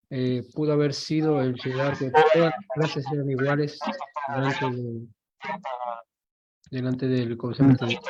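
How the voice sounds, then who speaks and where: A man, a student in a class, asking a tentative question and searching for his words. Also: medium pitch. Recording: muffled lo-fi audio.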